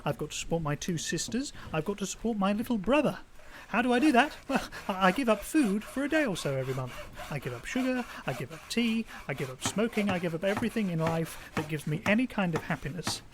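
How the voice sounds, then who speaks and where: An actor playing a poor, self-pitying, lovesick young man in an audio drama, talkative and halting, plaintive, on a noisy recording. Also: high voice, big pitch swings. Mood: aggrieved, earnest. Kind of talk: naturalistic audio drama acting.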